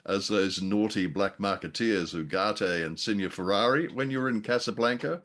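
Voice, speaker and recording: Male podcast co-host, deep voice, jocular and wordy, casual reviewer style. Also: normal speed, a bit bright.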